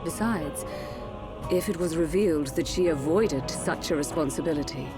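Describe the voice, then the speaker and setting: An actress playing a cool, calculating middle-aged royal consort with poised, courtly speech in a fantasy game drama. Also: female voice, low voice, normal speed, close to an everyday voice. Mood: coolly insistent. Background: loud background music.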